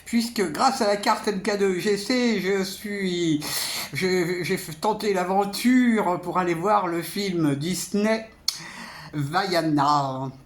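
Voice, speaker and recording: Man, somewhat high-pitched, casual film vlogger, hesitant, halting, repetitive phrasing. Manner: hesitant, halting, repeats words. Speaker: amateur film reviewer, vlogger. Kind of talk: casual spoken film review to camera.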